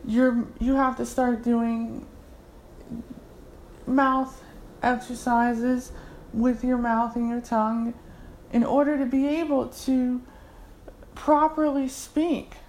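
Slow, unhurried woman tutor. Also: fairly high pitch, little pitch movement, darkish tone, a little hiss or hum, low-quality recording. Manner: unhurried, explanatory, with fillers. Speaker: language tutor. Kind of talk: casual instructional talk.

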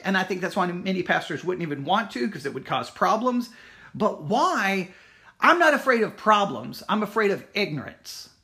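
High-pitched, insistent man. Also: fairly bright tone. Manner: emphatic, insistent, repeats himself. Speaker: Baptist church teacher and podcast host. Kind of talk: informal spoken announcement and church history teaching.

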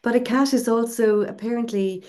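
A woman's voice: a little high, fairly even intonation, moderate pace, dark tone. A woman co-hosting a podcast, explaining ideas in a conversational casual chat with asides. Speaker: podcast co-host.

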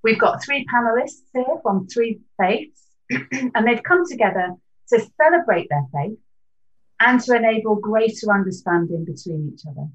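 A woman hosting an interfaith panel discussion in a measured, welcoming tone, now and then clearing her throat. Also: a little high, expressive, muffled recording. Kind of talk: presenting and leading a short prayer.

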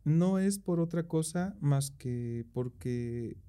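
A man's voice: on the high side, expressive, takes it slowly, warm tone, clean tone. A young Mexican man chatting casually as an interview guest, slangy and rambling with restarts. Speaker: guest, behind-the-scenes camera operator.

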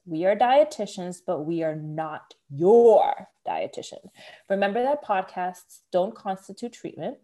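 A woman's voice: fairly low pitch, darkish tone. A woman co-hosting a podcast as a dietitian. She sounds congested, chats casually and laughs often. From Philadelphia area, USA. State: congested, stuffy voice.

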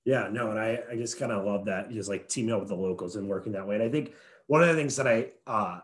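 A man interviewing in a casual, halting, informal way, thinking aloud as he forms his questions. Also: lowish voice.

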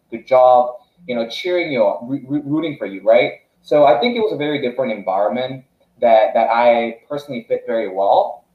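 A man's voice: mid-range voice, roomy sound, narrow, tinny audio. A young man from South Korea, a startup founder telling his story as a podcast guest, with frequent restarts and fillers. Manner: halting, many restarts and fillers. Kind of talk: casual podcast interview.

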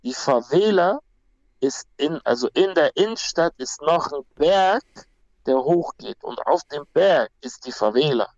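A man's voice: fairly high pitch, expressive, measured pace, a little mellow, no echo, highs cut off. A young man from Peru, a guest talking casually and bluntly, with slang and many restarts. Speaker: guest, MMA fighter. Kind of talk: casual conversation.

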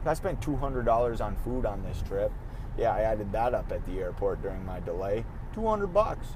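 Tired, raspy man rambling in a car. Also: medium pitch, low-quality recording. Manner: rambling, animated, self-interrupting. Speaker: podcast host. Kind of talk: casual solo podcast while driving. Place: in a car, driving outdoors. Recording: faint road noise in a car. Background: car and street noise.

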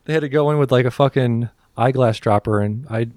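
A man's voice: mid-range voice, fairly quick, darkish tone, no room sound, clean studio sound. A man hosting a podcast in casual banter, joking crudely and swearing freely. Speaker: podcast host.

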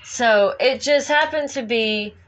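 A woman's voice: a little high, takes it slowly, fairly bright tone. A woman chatting casually and informally as co-host of a show, with the odd swear word. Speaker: co-host of a comic book talk show. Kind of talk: casual chat. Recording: muffled home recording with background noise.

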